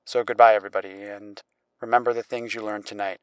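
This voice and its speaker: A man's voice: lowish voice, level tone, fast talker, clear, resonant tone, no room sound. A male podcast host giving a wry, theatrical scripted sign-off.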